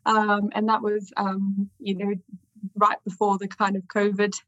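Australian woman, interview guest, hesitant, flat intonation, muffled call audio, dry. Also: medium pitch, unhurried, no echo. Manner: hesitant, many ums and restarts. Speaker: guest lawyer. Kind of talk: interview answers. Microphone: video call. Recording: dull video call audio.

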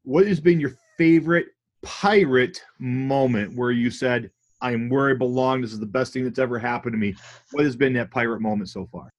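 A man's voice: mid-range voice, moderate pace. An upbeat man hosts a school podcast with a mid-range voice. He greets his guest with energy and asks his questions in a loose, rambling way. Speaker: school podcast host, former principal. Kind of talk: casual podcast interview.

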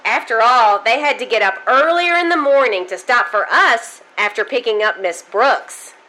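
A woman's voice: fairly high pitch, animated, moderate pace, sharp tone, low-quality recording. A woman playing a sweet, earnest teenage schoolgirl daughter in an old-time radio comedy. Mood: defensive.

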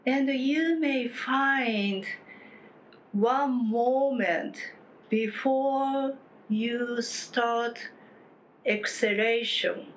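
A woman leading a guided meditation as a teacher, speaking calmly in short phrases with long pauses and gentle instruction. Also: fairly high pitch, poor audio. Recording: clear steady background noise, room ambience.

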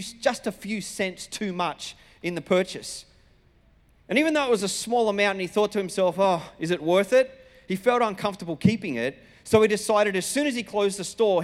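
A man with an Australian accent preaching as a pastor, telling stories in a conversational, colloquial way and acting out dialogue. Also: high voice. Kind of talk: sermon with personal storytelling. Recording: reverberant hall sound.

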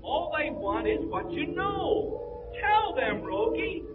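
An impish, cackling spirit from an old radio play. The voice is extremely high and swoops around in a slow, teasing singsong, through a tinny vintage broadcast. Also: male voice, very animated, loud music behind the voice, full character voice. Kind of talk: 1940s radio acting.

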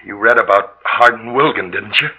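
An actor playing a haunted, obsessed morgue worker, brooding and intense in halting 1940s radio drama style. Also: male voice, on the low side, pushed and bright, breathy edge, exaggerated voice. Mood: insistent. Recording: dull lo-fi old radio recording.

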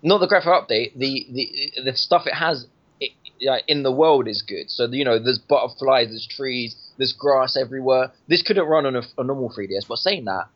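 A man's voice: medium pitch, normal speed. Rambling man on a lo-fi podcast. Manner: rambling, restarts often, laughs at own jokes. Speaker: podcast guest reviewing a game. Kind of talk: casual gaming podcast chat. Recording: lo-fi podcast audio.

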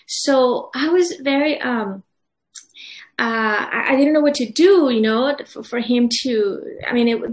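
Echoey, high-voiced woman. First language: Spanish. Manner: hesitant, many restarts and fillers, earnest. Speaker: guest, publisher and author. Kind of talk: casual interview answers. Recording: echoey room.

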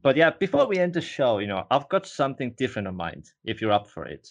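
A man from Estonia, whose first language is Estonian, hosting an interview in a relaxed way, with frequent "you know". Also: mid-range voice, fast, creaky. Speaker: interview host. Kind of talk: casual interview.

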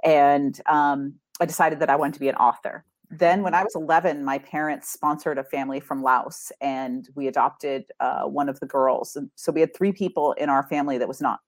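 Middle-aged woman from massachusetts, low voice, chatty and upbeat, guest on an interview, dry sound. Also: no echo. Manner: upbeat and chatty, laughs easily. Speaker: author and ghostwriter. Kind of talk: casual interview chat.

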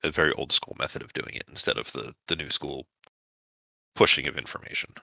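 A man working as game master, narrating a tabletop vampire roleplaying game in a measured, explanatory way. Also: extremely low pitch, gravelly, sharp tone, dry recording, muffled recording.

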